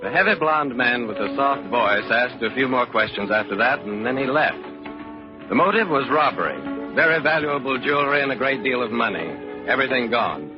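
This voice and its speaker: A man's voice: medium pitch, lively intonation, normal speed, lightly acted. An actor playing a wry, defensive murder suspect in a 1940s radio suspense drama, telling his own story. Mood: brooding, wry. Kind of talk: 1940s radio suspense acting, hard-boiled narration. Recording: muffled vintage radio broadcast, music behind.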